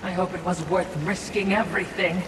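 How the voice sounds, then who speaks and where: A man's voice: little pitch movement, brisk, noisy background, natural voice. A man voicing an obsequious, sardonic spirit servant in a video game, speaking in a formal, snide and fawning way. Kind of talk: theatrical video game dialogue. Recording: echoey, distant, loud rumbling game ambience.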